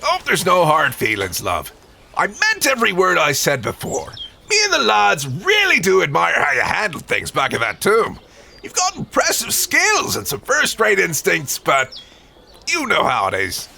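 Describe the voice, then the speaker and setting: Gravelly, forceful, cheeky henchman. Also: sits high, huge pitch swings, moderate pace, slight background noise, exaggerated voice. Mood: chummy, unapologetic. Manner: colloquial, matey. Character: cheeky mercenary henchman, chummy but self-serving. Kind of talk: 1930s-set adventure audio drama acting.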